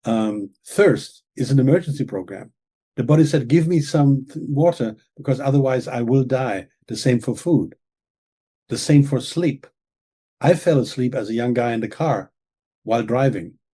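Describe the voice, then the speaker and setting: Man, English speaker, mellow tone, slightly breathy, earnest explainer. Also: mid-range voice, expressive, normal speed. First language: German. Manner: earnest, lecturing, with frequent "okay?" and "right?" check-ins. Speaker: author and guest expert. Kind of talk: explaining in an interview.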